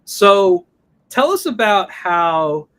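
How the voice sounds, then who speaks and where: A man hosting a casual interview over a livestream, friendly and halting, often repeating words as he starts sentences. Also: high voice, very animated, fairly bright tone. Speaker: host. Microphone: remote livestream call.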